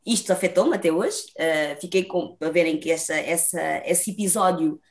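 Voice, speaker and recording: A young woman from Lisbon with a Lisbon Portuguese accent, a lively, talkative dancer telling her story as an interview guest, laughing often. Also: on the low side, normal speed.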